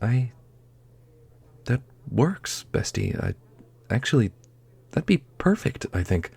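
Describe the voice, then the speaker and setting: A man's voice: mid-range voice, very animated, slow, gravelly, a little mellow, breathy edge, pitched above the performer's own voice, heavily acted. A man voicing an eager, easily flustered young protector, a loyal best friend with a hesitant, boyish manner, in a fantasy roleplay. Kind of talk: ASMR fantasy roleplay acting.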